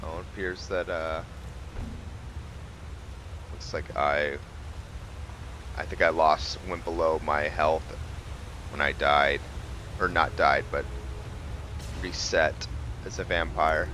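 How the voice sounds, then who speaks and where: A man's voice: very deep voice, steady tone, takes it slowly, long pauses, pushed and bright, slight background noise, poor audio. A man giving casual, laid-back commentary as he plays a video game, thinking aloud. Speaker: gamer narrating his playthrough. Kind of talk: casual gameplay commentary with roleplay.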